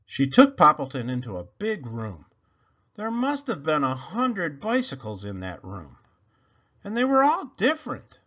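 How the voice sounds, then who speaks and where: Male storybook reader, hugely varied pitch, bright tone with some huskiness, children's narration. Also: huge pitch swings, a little slow, muffled recording. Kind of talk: animated children's read-aloud narration.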